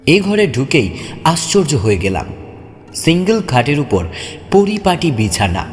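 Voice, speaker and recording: A man's voice: on the high side, a little slow. A man giving a dramatic, suspenseful first-person narration of a horror story. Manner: suspenseful, expressive. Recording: long hall reverb, clear music bed. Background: music.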